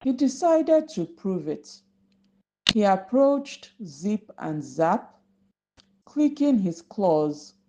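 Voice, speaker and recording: A woman narrating a folktale for young children as a storyteller, deliberate and instructive. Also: medium pitch, very animated, background noise. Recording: slightly dull lo-fi recording.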